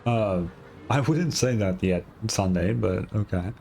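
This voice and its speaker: Low-voiced man, blunt gaming streamer. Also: expressive, a little slow, vocal fry, darkish tone, slight background noise. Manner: blunt, sweary. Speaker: streamer reacting to a game. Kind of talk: casual live game commentary. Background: faint game music.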